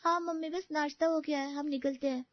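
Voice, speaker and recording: High-pitched schoolchild, voiced by a woman. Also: fairly even intonation, fairly quick, no echo, lo-fi sound, lightly acted. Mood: polite. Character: complaining schoolchild pleading with mother. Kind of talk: dramatised dialogue in an audio story.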